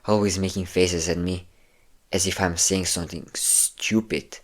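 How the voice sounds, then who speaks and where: A man's voice: sits low, steady tone, unhurried. A man playing a possessive, cocky vampire captor in an intimate roleplay audio drama, coaxing and smug, with theatrical endearments. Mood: cocky, teasing.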